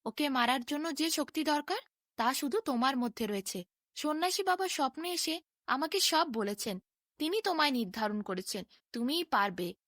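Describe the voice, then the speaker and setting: A woman's voice: high-pitched, animated, normal speed, a bit bright, dry recording, studio quality. A woman voicing an earnest, pleading king in a storybook fairy tale, solemn and imploring. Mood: urging.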